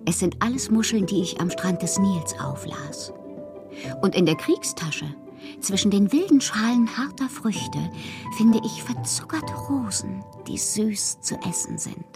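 An actress playing a passionate, eccentric bohemian poetess, reading fanciful letters in a theatrical radio drama monologue with a breathy voice. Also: female voice, mid-range voice, animated, measured pace, music in the background. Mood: dreamy delight. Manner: theatrical, dreamy, often slipping into breathy whispers. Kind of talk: dramatic literary monologue in a German radio play.

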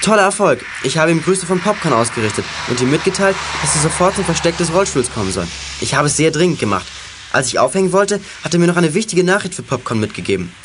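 A man's voice: on the high side, big pitch swings, fast talker, background noise. A young-sounding male actor playing an eager, watchful boy detective in a children's audio drama. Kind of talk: German children's radio play acting.

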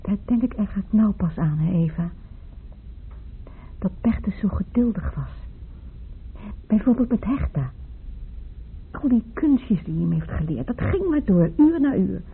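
An actress playing a wistful, fragile young woman in grief, reminiscing haltingly in a Dutch radio play. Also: medium pitch, animated, a little hiss or hum. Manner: halting, reminiscing, repeats herself. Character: grieving young woman, Maria, wistful, fragile, tearful, in love. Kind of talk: Dutch radio play acting, intimate monologue. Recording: muffled, phone-like old radio recording.

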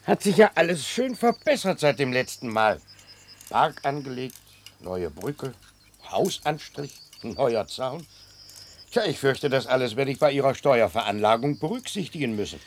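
An actor playing a smug, officious tax inspector in a German radio drama, chatty and unctuous with false courtesy. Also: male voice, medium pitch, expressive, moderate pace, slight background noise, natural voice. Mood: smug, nosy.

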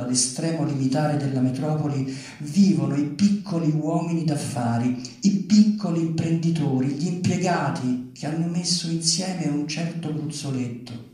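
A man's voice: on the high side, darkish tone. A man giving a dramatic reading of literary prose aloud. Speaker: reader at a live literary reading. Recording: very echoey hall, far from mic.

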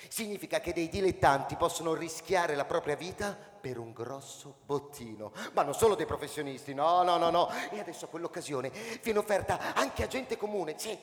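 A man's voice: fairly high pitch, expressive, moderate pace, roomy sound, in a large hall, lightly acted. An actor playing a persuasive, chuckling male shopkeeper in a radio drama, wheedling and repeating himself like a salesman. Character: persuasive, chuckling shopkeeper pitching to an ordinary man. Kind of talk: live radio drama acting.

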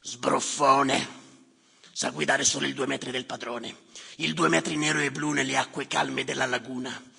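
A man playing a bitter, callous clerk in a theatrical monologue, muttering scornfully in a gravelly, raspy voice with long reverb around him. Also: steady tone, moderate pace, pushed and bright, full character voice. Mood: sardonic storytelling. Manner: muttering, scornful, erratic swings from low grumbles to outbursts. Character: bitter, callous, muttering male clerk tallying the drowned by number.

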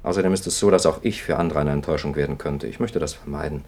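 A grave, low-pitched priest held captive, in the manner of 1950s German radio drama. He is earnest and melancholy, setting out each phrase with reflective care. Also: rapid-fire, darkish tone.

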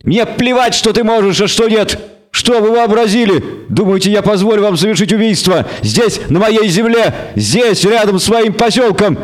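A bleating goat devil from a fantasy audiobook, acted out by a man. The voice is pitched very high, indignant and quarrelsome, with a stubborn, defiant temper. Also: normal speed, lightly acted.

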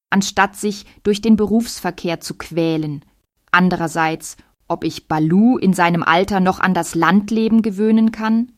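A woman's voice: medium pitch, no echo, clean studio sound, natural voice. A woman playing a lonely, romantic city dweller in a radio play, musing hesitantly to herself. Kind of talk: radio play inner monologue.

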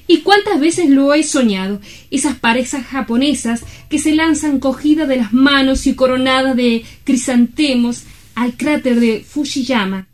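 A woman's voice: high voice, measured pace. An actress playing a melancholy, world-weary romantic lady in a comic stage play, with a wistful, affected and dramatic delivery.

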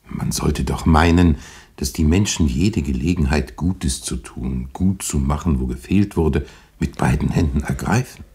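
A moralising, zealous pastor in a radio drama, with an extremely deep, dark voice. His delivery is deliberate and emphatic, with a husky grain and a preacher's earnestness. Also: exaggerated voice. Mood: courteous, indignant. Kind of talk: German radio play acting.

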